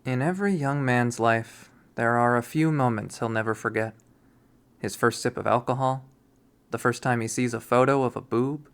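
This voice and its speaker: A man reading a visual novel aloud as its first-person narrator, in an expressive storytelling style. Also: mid-range voice, smooth.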